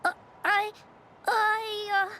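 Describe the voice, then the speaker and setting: A meek little girl from a video game. Her extremely high voice comes out very slowly in stammers, with faint ambience behind it. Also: flat delivery, bright, forceful tone, a little hiss or hum, heavily acted. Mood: timid, guilty. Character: timid, sickly little girl. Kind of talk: scripted video game voice acting.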